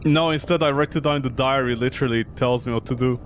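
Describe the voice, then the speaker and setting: A man's voice: medium pitch, fast, slight background noise. A man streaming a game with casual, mocking, exasperated commentary and plenty of swearing. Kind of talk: casual, profane gameplay commentary.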